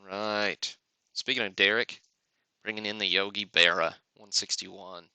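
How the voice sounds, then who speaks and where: Man, very crisp and forceful, low-pitched, casual livestream host, off-the-cuff asides. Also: fairly even intonation, unhurried, no room sound. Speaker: host of a sports card break livestream. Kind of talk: casual live commentary on card openings.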